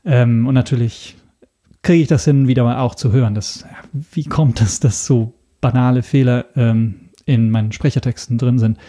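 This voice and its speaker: A man's voice: mid-range voice, fairly even intonation, normal speed, dark tone. A man from Italy, a non-native German speaker with an Italian accent, answering interview questions with frequent hesitations. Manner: hesitant, many ums. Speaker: podcast guest. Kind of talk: casual interview talk.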